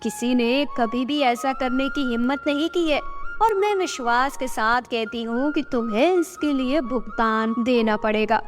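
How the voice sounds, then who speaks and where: A woman's voice: high-pitched, unhurried, big-room sound, over music. A woman voicing an angry, commanding witch in a children's story, threatening and drawing out her exclamations. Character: angry, menacing female witch who bargains for a child.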